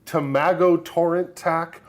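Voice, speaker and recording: A man's voice: on the high side, steady tone, takes it slowly. A man commentating a live tabletop wargame, teasing the players and chuckling as he talks. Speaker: stream commentator.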